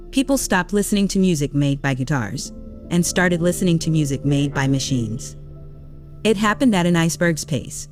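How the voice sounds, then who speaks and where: Low-voiced woman narrating a story.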